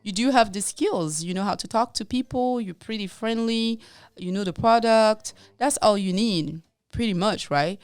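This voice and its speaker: French-accented woman on a podcast, smooth clear voice, dry close sound, laughs a lot. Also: medium pitch, moderate pace, no room sound. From Congo, raised in France. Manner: lively, laughs often, acts out quotes. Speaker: podcast co-host, realtor. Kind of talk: casual interview storytelling.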